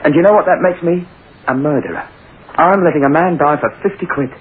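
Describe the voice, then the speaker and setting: A man's voice: on the high side, brisk, breathy edge. A man with a British accent acting a guilt-ridden, anxious man in an old radio drama, agitated and hesitant. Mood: agitated, guilty. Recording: tinny old radio broadcast, music behind.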